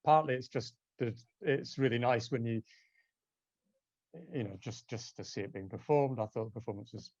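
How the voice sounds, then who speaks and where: A man's voice: medium pitch, moderate pace, darkish tone, no room sound. Male theatre translator for a Q&A panel: hesitant, casual, keeps rephrasing; dry, lo-fi sound. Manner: hesitant, self-correcting, tentative. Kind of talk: casual post-show panel discussion.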